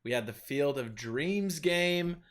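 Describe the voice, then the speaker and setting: A man's voice: a little high, animated, takes it slowly, fairly bright tone. A man hosting a casual sports podcast, joking with his co-hosts and steering the talk to the next segment. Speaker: podcast host.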